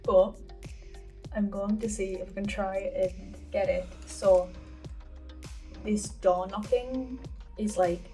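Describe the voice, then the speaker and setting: A woman's voice: mid-range voice, steady tone, warm tone, distant mic, soft background music. A woman talking in a casual, chatty vlog style as host, rambling and often interrupting herself. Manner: rambling, halting, self-interrupting. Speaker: video creator and host. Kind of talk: casual chatty vlog about audio production.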